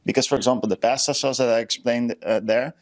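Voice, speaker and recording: A man with a Dutch accent speaks as a podcast co-host in a casual, conversational way. He hands out practical advice emphatically, often repeating words to drive a point home. His pitch sits in an ordinary middle range for a man. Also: steady tone, fast. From Netherlands. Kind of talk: casual chat giving tips.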